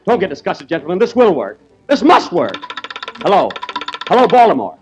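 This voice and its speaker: Brief: a man doing a fussy male inventor for a 1930s radio comedy, high, lively and indignant. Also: moderate pace, soft background music. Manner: indignant, theatrical, exasperated. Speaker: comedian host.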